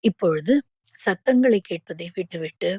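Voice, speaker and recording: A woman guiding a yoga nidra relaxation, giving calm, measured instructions with pauses between phrases. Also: on the low side, slightly rough, no room sound, studio quality. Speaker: yoga nidra meditation guide.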